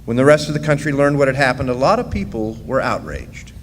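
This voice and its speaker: A man acting as narrator in a radio drama, recounting his own past in measured storytelling, recorded in a big hall. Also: mid-range voice, expressive, lightly acted. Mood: earnest, measured. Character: man recalling his part in a miners' deportation. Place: live performance in a large hall. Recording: echoey hall, background noise.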